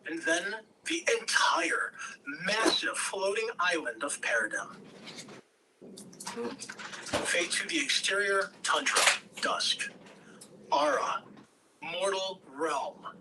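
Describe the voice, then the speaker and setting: A person reading stage directions in scripted narration at a table read, with measured delivery. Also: mid-range voice, very animated. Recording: distant mic, faint background noise.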